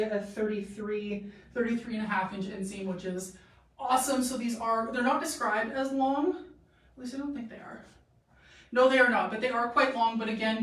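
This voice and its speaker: A young woman from Canada hosting a casual live-stream try-on, talking in a chatty, rambling way with many fillers and a slightly sore throat. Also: mid-range voice. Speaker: boutique model and live-stream host. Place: boutique room with a clothing rack. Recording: echoey room, voice from a distance.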